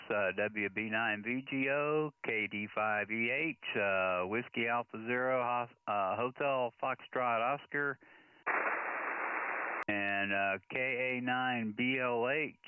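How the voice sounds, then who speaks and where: American man on tinny radio band. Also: medium pitch. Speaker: amateur radio net control operator. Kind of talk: procedural radio net announcements with phonetic call signs. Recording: narrow tinny radio-band sound, faint hiss.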